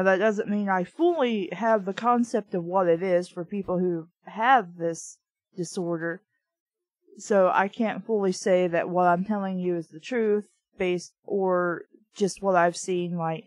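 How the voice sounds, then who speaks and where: A woman's voice: lowish voice, fairly even intonation, measured pace, darkish tone, no room sound, low-quality recording. A woman reading a book aloud casually, with blunt, sweary, opinionated off-the-cuff commentary. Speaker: reader and commentator of a children's book.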